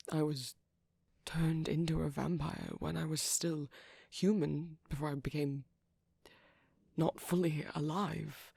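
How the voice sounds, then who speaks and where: A man acting a shy, tender young vampire boyfriend in intimate ASMR roleplay, speaking softly and breathily, often near a whisper, with a hesitant, apologetic manner. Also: a little high, creaky, breathy edge, dry recording, heavily acted. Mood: gentle, reassuring. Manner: hesitant, halting, apologetic, gentle.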